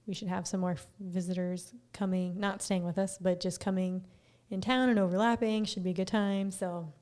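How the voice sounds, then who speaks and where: A woman co-hosting a podcast in casual, low-key chat, with a slightly raspy voice and sounding a little tired. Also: steady tone, normal speed, darkish tone. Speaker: podcast co-host.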